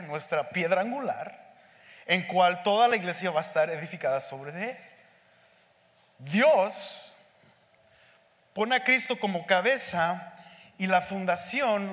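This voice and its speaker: Hombre de voz aguda, predicando en una sala con eco. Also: male voice, sits high, fairly bright tone. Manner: speaks slowly and deliberately, mixing in English words. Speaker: pastor teaching a Bible study. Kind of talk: sermon teaching. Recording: echoey hall with long reverb.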